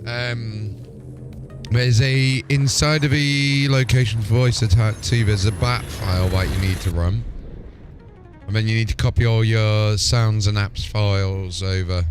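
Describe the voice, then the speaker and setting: A man's voice: lowish voice, fairly even intonation, measured pace. A man hosting a game livestream, chatting casually in a laid-back, sweary way. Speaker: game streamer and host. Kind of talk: casual livestream chat over gameplay. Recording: music playing behind the voice. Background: music.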